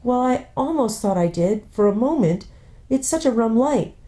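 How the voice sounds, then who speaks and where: A woman voicing an excitable, sometimes sulky boy in a storybook reading. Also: on the high side, moderate pace, voice pushed up, lightly acted. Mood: excited.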